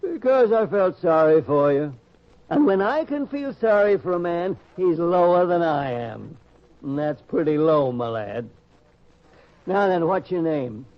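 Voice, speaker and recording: Male actor as blunt lowly scavenger, wry, gruffly kind, theatrical radio acting, higher-pitched male voice, dull sound. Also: expressive, measured pace. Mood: gruffly sympathetic. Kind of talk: old-time radio drama acting. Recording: dull lo-fi radio-style recording.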